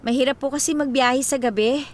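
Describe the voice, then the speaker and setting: A woman's voice: high voice, steady tone, brisk, close to an everyday voice. A Filipino woman playing a playful, teasing young college student in a radio drama, full of exclamatory banter. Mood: apologetic, affectionate. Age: young adult.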